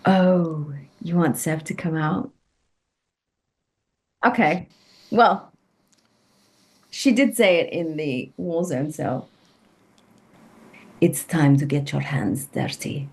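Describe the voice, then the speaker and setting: A woman's voice: low voice, slow, pauses often. An actress playing a teasing, dangerous female operator from a video game, drawing out her words. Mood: teasing menace.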